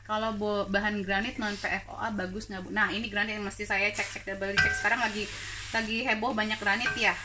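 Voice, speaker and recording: A woman's voice: mid-range voice, sharp tone, slight background noise. A woman from Indonesia hosting a live cooking stream from her home kitchen, chatting and laughing as she answers viewer questions. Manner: chatty, laughs often, code-switches into English words. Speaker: cooking show host.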